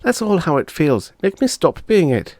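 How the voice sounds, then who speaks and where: A man's voice: a little high, very animated, moderate pace, a little mellow, no room sound, studio quality, pitched above the performer's own voice. A man voicing an eager, whiny little girl, a kid sister in an audiobook story. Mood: whiny, complaining. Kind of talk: audiobook character voice.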